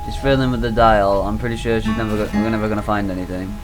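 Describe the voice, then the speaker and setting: Deep-voiced sweary guy playing games, music behind. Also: fairly even intonation, fast talker. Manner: sweary, blunt, sarcastic asides. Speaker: gaming video host reading visual novels aloud. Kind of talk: casual playthrough, reading dialogue aloud with off-the-cuff commentary.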